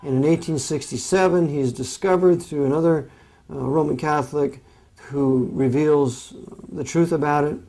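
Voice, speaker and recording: Man with a highish voice explaining history off the cuff, matter of fact, one step at a time. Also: fairly even intonation, moderate pace, warm tone, poor audio. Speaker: commentator on history.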